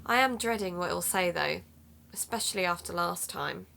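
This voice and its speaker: A woman's voice: fairly low pitch, moderate pace, gravelly, bright, forceful tone, slight background noise, exaggerated voice. An actress in an audio drama playing an earnest, matter-of-fact woman who hosts an investigative podcast. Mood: businesslike.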